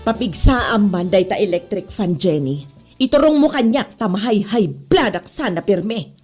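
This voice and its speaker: A woman with an Ilocano accent playing an exasperated, high-strung spinster schoolteacher in a comedy drama, dramatic and scolding. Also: expressive, measured pace, darkish tone, natural voice. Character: exasperated, high-blooded old-maid schoolteacher. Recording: music behind. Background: music.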